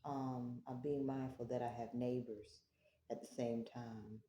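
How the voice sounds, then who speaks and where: A woman tarot reader with a low voice, talking slowly and softly as she prays and reads cards at home. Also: fairly even intonation, reverberant, slight background noise. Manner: slow, prayerful, soft-spoken. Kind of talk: spiritual tarot reading. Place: home, early morning.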